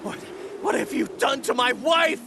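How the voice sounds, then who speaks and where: A man's voice: extremely high pitch, lively intonation, moderate pace, vocal fry, heavy background noise, full character voice. A man voicing an earnest, protective martial-arts hero in a video game cutscene, impassioned and strained. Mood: outraged, anguished. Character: earnest, protective heroic husband, a small martial artist. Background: loud music.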